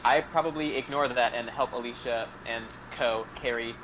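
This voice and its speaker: A young man playing a tabletop game in a calm, matter-of-fact way. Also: mid-range voice, moderate pace, noisy background. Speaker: player. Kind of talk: casual game banter.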